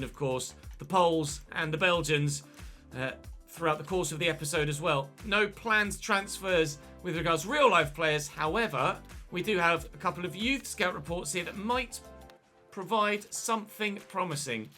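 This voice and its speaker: A man commentating his football video game in a chatty way, breaking into excited shouts at big moments. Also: a little high, moderate pace, faint music behind. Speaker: gaming channel host.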